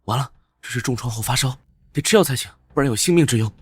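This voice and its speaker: An actor playing a young beggar in a costume drama, husky, exasperated yet protective. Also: male voice, medium pitch, fast, no echo, lightly acted. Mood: worried. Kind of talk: dubbed animated costume drama.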